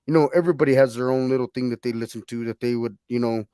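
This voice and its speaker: A man's voice: mid-range voice, very fast, mellow, smooth, dry recording. A male DJ hosts a radio talk show, asking his guest questions in a loose, rambling way full of fillers. His voice sits in a middle range. The sound is dry and close. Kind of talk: casual interview chat.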